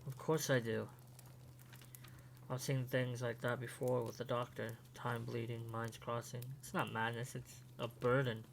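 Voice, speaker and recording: A man playing an earnest, guarded, quietly supportive young man in a fan audio drama, speaking in a measured way. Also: medium pitch, level tone, gravelly. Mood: reassuring. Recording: faint hum behind the voice.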